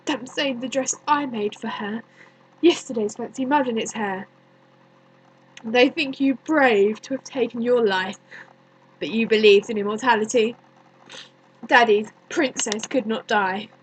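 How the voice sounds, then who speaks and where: A woman performing a dramatic stage monologue as a bitter, grieving young woman, deliberate and accusing, with many pauses. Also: on the high side, breathy edge, rough recording. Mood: scornful. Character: bitter grieving young woman haunted by her dead cousin.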